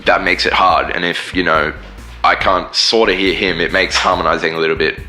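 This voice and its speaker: A man's voice: deep voice, steady tone, fairly quick, sharp tone, faint music behind. A man, a band singer and guitarist, rambling casually in an interview. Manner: rambling, many fillers and restarts.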